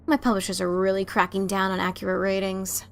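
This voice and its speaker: A woman's voice: medium pitch, completely flat delivery, brisk, creaky, lightly acted. A woman voicing a brash, teasing adventurer pony mare in a cartoon fan story reading. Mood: needling.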